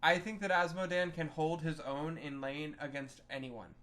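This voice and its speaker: A man's voice: on the high side, steady tone, normal speed, a bit bright. A man co-hosting a gaming podcast, casually trading opinions on game strategy. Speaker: podcast co-host.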